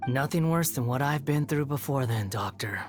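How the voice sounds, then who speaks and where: A woman's voice: rumbling low, expressive, normal speed, over music. A woman playing a brooding, proud and bitter young male puppet in a video game, solemn and terse with a sardonic edge.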